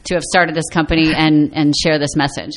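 A woman's voice: deep voice, little pitch movement, brisk. A woman hosting a conversational radio interview in an upbeat, encouraging way. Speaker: radio show host.

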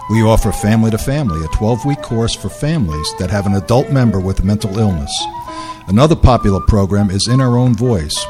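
A man reading a scripted public service announcement in an even, informative way. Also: lowish voice.

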